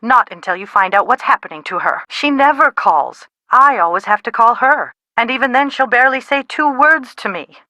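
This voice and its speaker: A woman playing an anxious, sharp-tongued middle-aged mother in a video game, with a dry, dramatic manner. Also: a little high, expressive, moderate pace, hardly any pauses, no echo. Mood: wry, concerned. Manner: exasperated, dramatic, dry wit. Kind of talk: video game dialogue, phone conversation acting.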